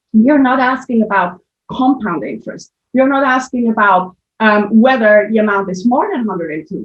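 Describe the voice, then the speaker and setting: A woman from Switzerland with a Swiss accent, giving a methodical conference presentation on financial literacy over a video call. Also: fairly high pitch. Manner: explanatory, methodical, with frequent restarts. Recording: muffled lo-fi video call audio.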